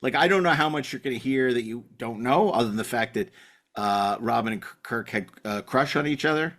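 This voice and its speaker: A man hosting a podcast in casual, rambling chat, halting often and repeating his words. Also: medium pitch, fairly bright tone. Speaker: podcast host.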